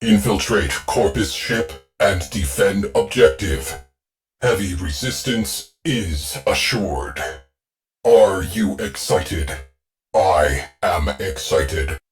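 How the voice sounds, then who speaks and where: A game's terse ship AI, deep and slow, dry deadpan orders, faint music under. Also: male voice, heavily acted. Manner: clipped, deadpan tactical briefings. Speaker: ship's onboard AI. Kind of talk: video game voice lines.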